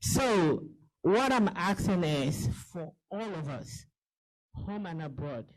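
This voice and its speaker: A woman's voice: fairly low pitch, expressive, takes it slowly, a little mellow, poor audio. A woman in her fifties gives a passionate, persuasive speech to a gathering as a diaspora campaign organizer. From Nigeria. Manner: impassioned, persuasive, pleading.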